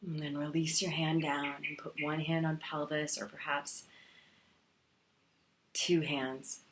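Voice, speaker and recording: A woman teaching a guided meditation in a calm, soothing manner, leaving long pauses between phrases. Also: low voice.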